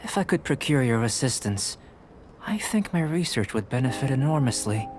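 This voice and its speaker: An actor voicing a calm, precise young alchemist. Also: male voice, medium pitch, lightly acted. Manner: measured, formal, clinical. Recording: game audio with clear music behind.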